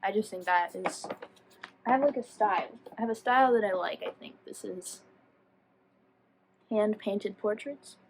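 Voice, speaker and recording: A woman's voice: mid-range voice, normal speed, pauses often, background noise. A woman chatting casually to viewers as a book vlogger, rambling and self-deprecating as she goes off on tangents. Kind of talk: casual chatty video talk.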